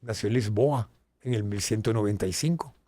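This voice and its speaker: A Puerto Rican man hosting an educational talk show, emphatic and animated, dropping to low asides and rising to loud exclaims. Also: a little fast, no echo, studio quality. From Puerto Rico. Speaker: host.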